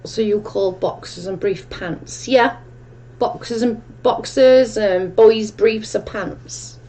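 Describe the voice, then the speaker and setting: A woman's voice: mid-range voice, takes it slowly, warm tone. A woman with a strong Welsh accent hosting a casual livestream chat, reading viewer comments aloud and answering them in a chatty, rambling way. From Wales. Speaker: livestream host.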